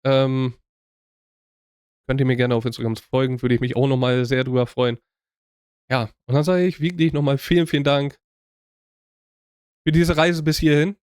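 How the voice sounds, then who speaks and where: A young man speaks straight to his viewers in a loose, rambling way, full of fillers, restarts and relaxed slang. His voice is smooth and resonant and sits at an ordinary pitch. The sound is close and dry. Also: normal speed, long pauses, no room sound. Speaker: YouTube reaction channel host. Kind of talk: casual talk to viewers.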